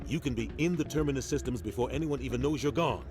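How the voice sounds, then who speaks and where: An actor playing a steadfast, loyal veteran military captain in a video game, speaking gravely and firmly. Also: male voice, mid-range voice, quick pace, lightly acted. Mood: grave, urgent. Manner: grave, urgent, firm. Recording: game dialogue over music.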